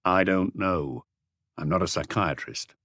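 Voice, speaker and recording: A middle-aged male detective in an audiobook read by a man, reserved and evasive. The voice is low and understated, never committing much, recorded dry. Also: a little slow, fairly bright tone, dry recording.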